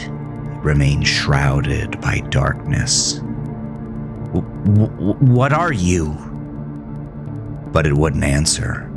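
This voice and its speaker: A man playing a grieving, haunted farmer who narrates a horror audio drama in a brooding, deliberate, theatrical way. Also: extremely low pitch, exaggerated voice. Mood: frightened, then awed, weary. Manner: brooding, deliberate, theatrical, dropping at times into breathy near-whisper. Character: grieving, haunted farmer telling his supernatural ordeal.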